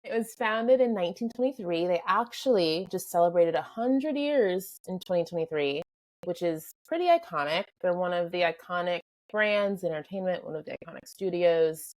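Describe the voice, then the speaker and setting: A woman's voice: fairly low pitch, lively intonation, moderate pace, darkish tone, no echo, rough recording. A woman co-hosting a podcast, upbeat and giving background facts. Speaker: podcast co-host. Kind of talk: casual podcast chat.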